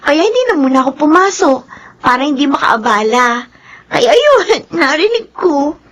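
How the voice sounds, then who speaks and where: Youngest daughter, high-pitched, girlish, chatty, teasing, affectionate, Filipino accent, radio drama. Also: big pitch swings, moderate pace, a bit bright.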